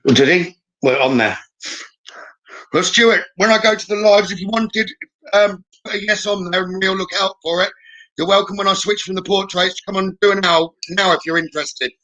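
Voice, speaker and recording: Bright-voiced man hosting a live psychic stream. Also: sits high, moderate pace, poor audio. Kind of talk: live stream readings, chatting with viewers.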